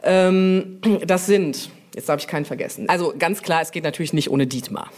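A woman's voice: lowish voice, normal speed, a little mellow. A woman, chatting informally as an interviewee and explaining her work, often checking for agreement with little tag questions. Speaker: brand manager being interviewed on a podcast. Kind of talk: casual interview talk, explanatory.

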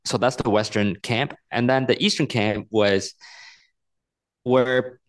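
A man's voice: medium pitch, steady tone, slow pace. A man in his thirties from Hong Kong, an author answering interview questions in an earnest, explanatory way, often repeating words as he thinks. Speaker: author and guest.

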